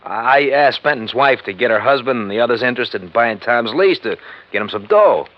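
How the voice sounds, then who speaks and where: An American actor playing a shifty, protesting club owner in a 1950s radio crime drama. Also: male voice, medium pitch, lively intonation, a little fast, lightly acted. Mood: defensive, nervous. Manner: stammering, protesting. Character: defensive, shifty male supper club owner. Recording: dull vintage radio recording.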